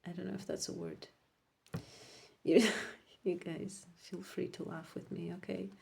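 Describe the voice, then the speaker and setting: A woman whose first language is Portuguese, giving a casual tarot reading to viewers, rambling and correcting herself, often dropping into soft whispers. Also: fairly low pitch, big pitch swings, a little slow, gravelly. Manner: drifts into soft whispers while laying cards. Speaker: tarot reader.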